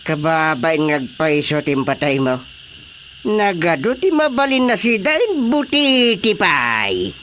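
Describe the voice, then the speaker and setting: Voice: mid-range voice, big pitch swings, takes it slowly, a bit bright, slight background noise. A performer with an Ilocano Filipino accent playing a dead village chairman who asks teasing questions in a radio comedy.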